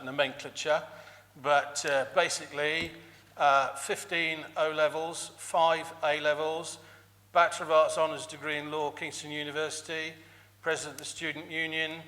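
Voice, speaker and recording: A man's voice: fairly high pitch, bright, forceful tone. A man giving a hustings speech as an election candidate in a formal, wordy manner. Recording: echoey hall with long reverb.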